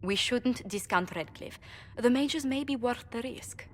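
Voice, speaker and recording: Shrewd spymaster in a ringing hall. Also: female voice, a little high, unhurried. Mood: persuasive. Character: calm, shrewd female spymaster. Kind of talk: video game dialogue.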